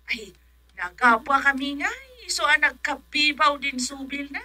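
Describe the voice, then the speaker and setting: An actress playing a blunt, complaining village wife with an Ilocano accent and emphatic delivery in a comedic radio drama. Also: female voice, high voice, lively intonation, a little slow, pushed and bright, heavily acted. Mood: indignant.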